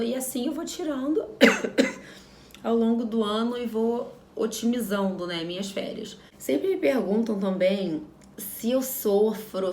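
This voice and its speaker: A woman's voice: medium pitch, a little mellow, slight background noise. Frank Brazilian woman in a roomy space. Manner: frank, opinionated, repeats phrases for emphasis. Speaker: vlogger. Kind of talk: casual talk to camera.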